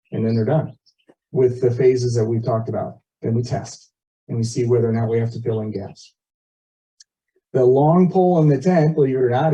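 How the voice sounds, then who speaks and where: A man's voice: mid-range voice, dark tone. A man giving an informal, folksy presentation to neighbours at a meeting, explaining things with plain comparisons. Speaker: community board member presenting at a meeting. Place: neighbourhood meeting, relayed over video call. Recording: muffled, distant microphone, meeting audio.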